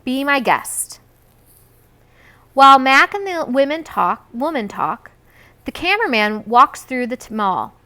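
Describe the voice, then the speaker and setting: A woman reading a children's book aloud in an expressive storytelling style. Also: high-pitched, moderate pace. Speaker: read-aloud reader.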